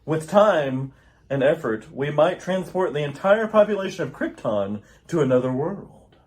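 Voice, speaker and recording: A woman's voice: sits low, lively intonation, unhurried, darkish tone, pitched below the performer's own voice. A woman playing a grave male scientist who warns urgently of doom in an amateur old-time radio drama. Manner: solemn, urgent, declamatory.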